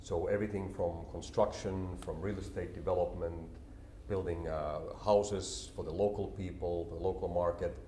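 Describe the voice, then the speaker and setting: Deep-voiced man in his forties. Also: slight background noise. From Finland. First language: Finnish. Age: 40s. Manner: calm, explanatory, measured. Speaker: company CEO, interview guest. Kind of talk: business interview. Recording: roomy echo, faint ambience.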